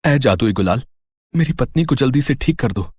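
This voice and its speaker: A man's voice: medium pitch, animated, moderate pace, a little mellow, husky, no room sound, clean studio sound. A man voicing a poor, earnest woodcutter in a Hindi moral story, speaking with wide-eyed expression. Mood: hopeful, urgent. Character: poor, earnest, kindly young woodcutter husband. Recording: music behind the voice.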